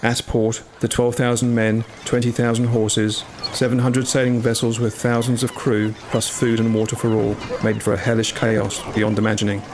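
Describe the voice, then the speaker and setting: A man playing a humble English medieval longbowman, telling his story in a solemn, measured, scripted dramatic narration. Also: mid-range voice, monotone, slight background noise, lightly acted. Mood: grave, resigned. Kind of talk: dramatic scripted first-person narration over music. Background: music.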